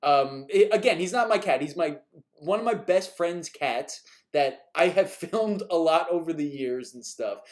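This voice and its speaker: Guy from new york rambling thru a live q&a, slightly high voice, laughs a lot, kinda fed up w repeat questions. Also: lively intonation, a little slow. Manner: rambling, chuckling, mildly exasperated at repeat questions. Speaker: animation creator answering viewer questions. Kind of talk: casual live-stream Q&A.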